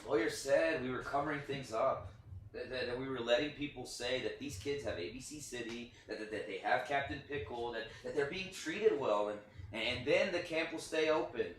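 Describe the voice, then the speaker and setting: A man's voice: medium pitch, normal speed, natural voice. A man playing a nervous, apologetic children's TV performer in a naturalistic play reading, stammering and hesitant. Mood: uneasy. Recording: very echoey room, distant mic, faint noise.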